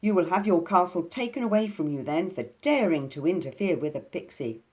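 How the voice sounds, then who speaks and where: A woman voicing a small, bold male pixie who talks fiercely and loudly in a storybook reading. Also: on the low side, lively intonation, a little fast, pitched above the performer's own voice, lightly acted. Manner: fiery, indignant, boastful.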